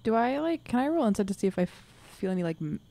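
A woman's voice: medium pitch, big pitch swings, very fast, vocal fry, mellow. A woman playing a tabletop game, speaking casually in and out of character into a close table mic. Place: room, group around a table. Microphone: table microphone on arm, close.

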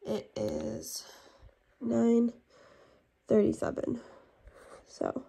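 A woman's voice: on the high side, wildly swooping pitch, very slow, vocal fry, mellow, husky. A young woman vlogging at home with her phone at arm's length, chatty and upbeat while getting over a cold. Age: young adult. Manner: chatty, upbeat morning updates. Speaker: family vlogger. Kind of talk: casual vlog to camera. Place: home living room, indoors. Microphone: phone held at arm's length.

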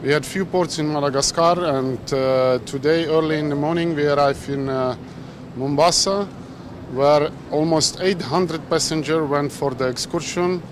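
A man, the master of a cruise ship, speaking at a press interview outdoors on a pier, with many pauses and fillers. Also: on the high side, a little hiss or hum. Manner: halting, with frequent pauses and fillers. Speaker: ship captain. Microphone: bunch of handheld press mics.

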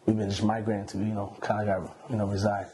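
Man in his twenties, relaxed slangy talk, warm mellow voice, lapel mic, faint noise. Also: on the low side, fast. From Iran. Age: 20s. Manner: relaxed slangy storytelling, many fillers. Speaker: interview guest. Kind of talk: casual interview. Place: indoor room, seated on a couch.

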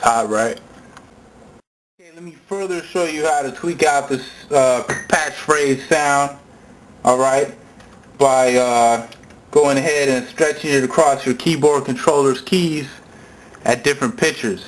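A man's voice: mid-range voice, measured pace, low-quality recording. A man giving an informal, slangy hands-on music gear tutorial, talking casually as he demonstrates. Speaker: tutorial presenter. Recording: music and sampler sounds behind voice. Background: sampled music loops and instrument sounds.

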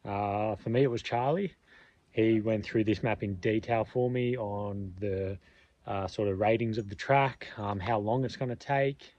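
A man's voice: fairly low pitch, a little slow, a little mellow, no room sound. An Australian man chatting casually through a solo camping and touring vlog, laid-back and rambling. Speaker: touring vlogger. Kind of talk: casual travel vlog narration. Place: outdoors at a campsite and in a car. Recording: dull lo-fi sound with clear outdoor and engine noise.

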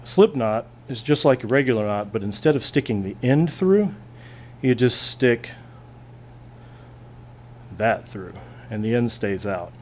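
A man's voice: mid-range voice, lively intonation, measured pace, pauses often, darkish tone, slight background noise. A male physics teacher at a classroom lab bench, plainly talking through a hands-on demonstration and thinking aloud as he goes.

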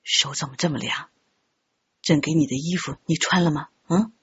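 A woman's voice: low-pitched, moderate pace, lo-fi sound, voice pushed down, natural voice. A woman voicing a doting but grumbling, imperious emperor in an audiobook, with a muttering manner. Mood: tender concern. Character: doting, grumbling, commanding young emperor, a man. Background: background music.

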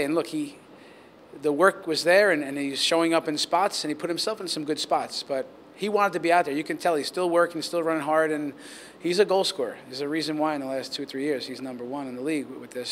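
A man in his forties, a head coach answering post-game questions at reporters' handheld mics, talking in a measured, earnest way with many restarts. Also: on the high side, a little hiss or hum. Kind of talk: post-game press interview. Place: press backdrop area, indoors. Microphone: handheld reporter mics held close.

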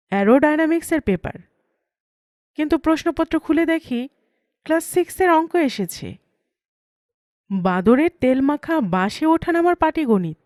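A woman tells a story in a fairly high voice. She reads as an expressive first-person narrator, and the sound is dry, with no room echo.

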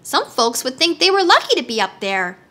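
A woman voicing a friendly, calm male scarecrow with a mild, reassuring manner in a storybook. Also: high-pitched, brisk, heavily acted.

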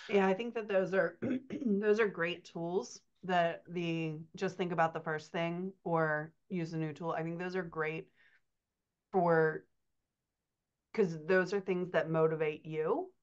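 An American woman with a fairly low voice speaks casually as a coach co-hosting a Q&A. The audio is a little dull, as on a video call. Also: steady tone, slow pace. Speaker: writing coach and co-host. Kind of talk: casual Q&A chat.

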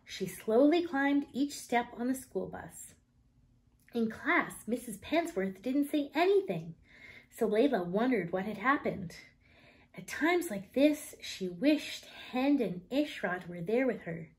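Expressive high-voiced woman reading a storybook. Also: slow pace, low-quality recording. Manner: expressive, emphatic storytelling delivery. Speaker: teacher reading aloud. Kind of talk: children's picture book read-aloud.

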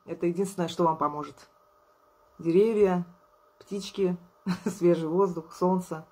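Woman, 30s, vlogger, weary but friendly casual talk, husky tone, camera at table distance. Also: fairly low pitch, steady tone, unhurried. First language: Russian. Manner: tired, chatty, warm to followers, laughs often. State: low on energy. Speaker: artist and musician vlogger. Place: indoors at a table, small room.

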